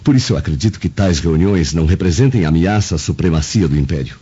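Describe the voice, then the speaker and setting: A man's voice: low voice, lively intonation, quick pace, warm tone, breathy edge, muffled recording, exaggerated voice. An actor playing an earnest, awed Roman nobleman in a radio drama, telling his account solemnly and respectfully. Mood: deferential, thoughtful. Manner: solemn, deferential storytelling. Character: earnest, awed Roman senator, humble and reflective.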